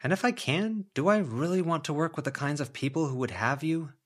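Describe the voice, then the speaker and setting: A man's voice: fairly high pitch, fairly quick, pitched above the performer's own voice, lightly acted. A man voicing an unfazed, wry woman in an audiobook, with a dry, matter-of-fact delivery. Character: unfazed, dryly wry woman dealing with cursed objects.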